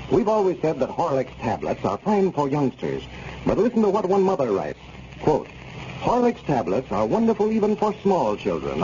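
A man announcing on 1930s radio in a measured, formal advertising style. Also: medium pitch, warm tone. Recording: muffled, noisy 1930s radio recording.

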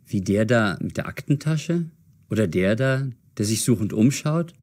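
Man playing a low-voiced young amateur sleuth. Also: lively intonation, normal speed, darkish tone. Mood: pondering. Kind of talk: audio drama dialogue.

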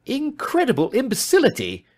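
An impatient, brilliant male detective as a male narrator puts him on, pitched high and swooping widely. He speaks in imperious, emphatic exclamations, urgent and commanding, in storybook style. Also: very animated, unhurried, breathy edge, full character voice. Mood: exasperated. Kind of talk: audiobook character voice.

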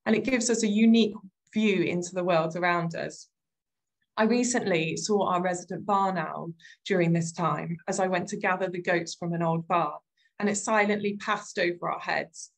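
A woman farmer giving a gentle, thoughtful webinar talk over slides, often saying "sort of" as she goes. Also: on the low side, moderate pace.

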